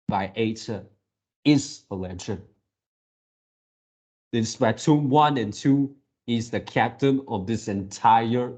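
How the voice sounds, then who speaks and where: A man's voice: lowish voice, a little mellow. A man giving casual reaction commentary as a video creator, rambling like an enthusiastic fan.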